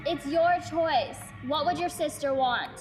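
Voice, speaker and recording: Woman doing a calm blunt mentor type, wry and sure of herself, highish voice, bit of music behind. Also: a little slow. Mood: coaxing. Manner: assured, blunt, dry. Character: calm, wry, seasoned female spirit-wielding mentor. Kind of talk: dramatic staged reading.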